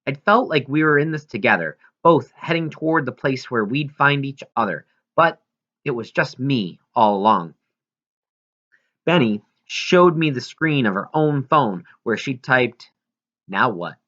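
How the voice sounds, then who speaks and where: A man's voice: mid-range voice, animated, unhurried, a bit bright, dull audio. A man reads a children's novel aloud as scripted audiobook narration. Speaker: audiobook reader.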